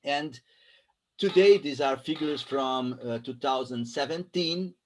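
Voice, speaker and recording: A man with a Brazilian Portuguese accent presenting figures from slides over a video call, halting as he searches for words. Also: mid-range voice. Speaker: guest presenter.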